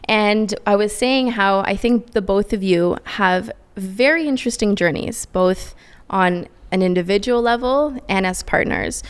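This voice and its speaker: A woman's voice: mid-range voice, clear, resonant tone. A woman hosting a podcast, opening the show and introducing guests in a bubbly, welcoming way. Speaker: podcast host. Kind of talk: upbeat podcast introduction and interviewing.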